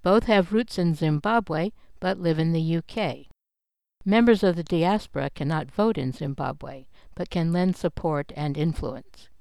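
Crisp, dry scripted narrator. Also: mid-range voice, measured pace, darkish tone, dry recording.

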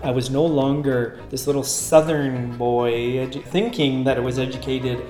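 A Canadian man whose first language is French, from Southwestern Ontario, calmly recounting his upbringing as an interview guest. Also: medium pitch. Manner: measured, thoughtful, with occasional hesitations and restarts. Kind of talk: reflective conversational storytelling. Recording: echoey room with faint guitar music. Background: faint guitar music.

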